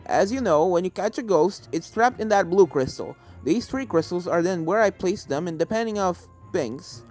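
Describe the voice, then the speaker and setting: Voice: mid-range voice, animated, normal speed, a little mellow, close to an everyday voice. Scholarly male storybook professor played by the reader, formal, explanatory, kindly yet serious, faint music behind.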